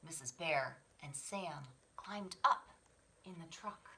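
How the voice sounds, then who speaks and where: A woman reading a picture book aloud to children, dramatically, moving between hushed whispers and sudden swells. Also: low-pitched, slow, gravelly, a little hiss or hum. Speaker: children's library story reader.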